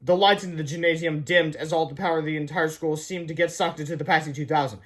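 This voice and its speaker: A man's voice: a little high, fairly even intonation, quick pace, fairly bright tone. A man reading scripted children's book narration aloud as a storyteller.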